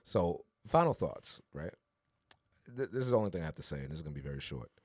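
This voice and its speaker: Male podcast co-host, very low voice, earnest and reflective, dry close sound. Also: sing-song, fast talker, darkish tone, no room sound. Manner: earnest and reflective, rising to emphatic urging. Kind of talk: casual podcast conversation.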